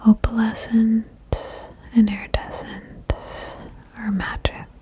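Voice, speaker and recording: A woman whispering a guided ASMR meditation in a breathy, soothing way, with gentle instructions and long pauses. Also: fairly high pitch, level tone. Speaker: ASMR host guiding a relaxation game.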